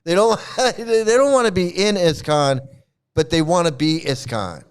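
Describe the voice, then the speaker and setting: Brief: sarcastic male commentator, repetitive and mocking, fairly high, very clear voice. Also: animated, normal speed. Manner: sarcastic, laughs often, repeats himself. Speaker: YouTube commentator. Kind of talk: mocking rant over video clips.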